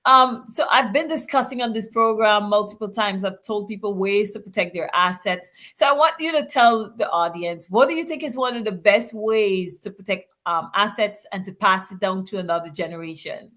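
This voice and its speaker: A woman's voice: mid-range voice, normal speed, few pauses, fairly bright tone. A woman from Guyana hosting a talk show on law, chatting casually with filler words. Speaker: host.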